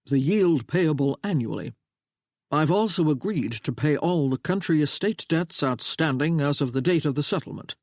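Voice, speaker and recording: A man voicing an older American father with a booming drawl, proud and protective of his daughter. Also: expressive, moderate pace, darkish tone, no room sound, studio quality. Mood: fatherly.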